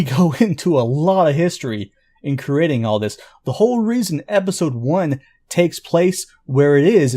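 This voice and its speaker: A man who writes an audio drama, explaining it in an earnest, informal pitch. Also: darkish tone.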